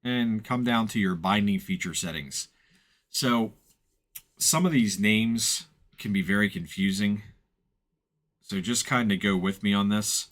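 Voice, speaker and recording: A man narrating an informal software walkthrough in a plain-spoken, explanatory way, hedging as he goes. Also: on the low side, a little slow, pauses often. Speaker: tutorial presenter and firefighter.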